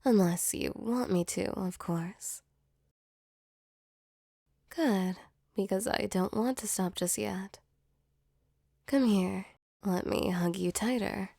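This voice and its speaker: A woman voicing a gentle, protective female demon in a soft, soothing ASMR comfort roleplay. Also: medium pitch, slow, gravelly, long pauses, dry recording, studio quality. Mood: tender, teasing. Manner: soothing, tender, reassuring.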